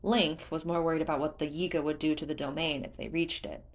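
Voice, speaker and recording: A woman reading a story aloud in an expressive, scripted narration style. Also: deep voice, fast talker. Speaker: fan fiction narrator.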